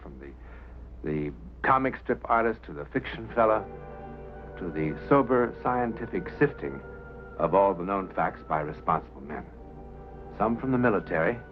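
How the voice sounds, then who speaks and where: Guy hosting an old drama, deep voice, really swoopy and dramatic, muffled with music behind. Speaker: host presenter. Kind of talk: theatrical scripted introduction to a drama. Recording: dull vintage film soundtrack with music.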